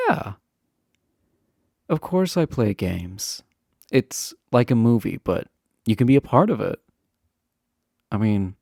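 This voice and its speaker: Casting: male actor as popular college guy, chatty and teasing, easygoing flirt, unhurried delivery with lively pitch swings, romantic audio roleplay. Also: vocal fry, no echo, studio quality, heavily acted. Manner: chatty, teasing, hesitant filler-laden casual phrasing. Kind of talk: romantic roleplay audio acting, casual intimate chat.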